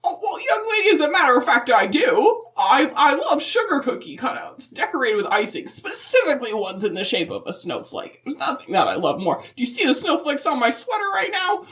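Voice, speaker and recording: A woman as centuries-old Christmas matriarch, highish voice, swooping intonation, chatty, opinionated, folksy, comic podcast interview. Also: huge pitch swings, brisk, few pauses, fairly bright tone, heavily acted. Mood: fond, chatty. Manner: rambling, emphatic, folksy.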